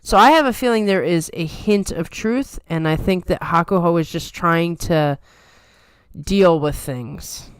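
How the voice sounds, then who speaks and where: A woman co-hosting a relaxed chat, with a lightly softened New York accent. Her delivery is chatty and playful but trained and controlled. The voice is smooth and resonant, recorded dry with no room sound. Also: lowish voice, measured pace, dry recording. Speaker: podcast co-host. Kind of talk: casual chat.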